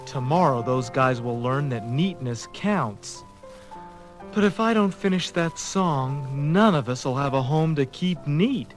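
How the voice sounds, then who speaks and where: A man playing a harried but kindly struggling songwriter, earnest and expressive, on a children's story record. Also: a little high, unhurried. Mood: worried. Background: music.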